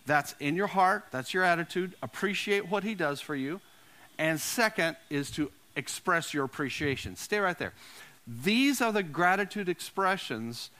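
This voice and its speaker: A man's voice: sits high, bright, forceful tone. A man preaching a conversational sermon as a pastor, repeating phrases for emphasis and putting questions to his listeners.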